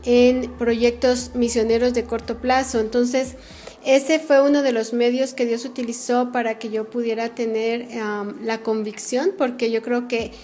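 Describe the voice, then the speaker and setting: A middle-aged woman with a Guatemalan accent speaks earnestly as an interview guest. Her voice sits a little high, and she stops to search for words often, filling the gaps with "este" and "eh". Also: little pitch movement, a little slow, warm tone, slight background noise. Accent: Guatemalan Spanish. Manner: earnest, frequent fillers like "este" and "eh". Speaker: missionary guest. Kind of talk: interview answers.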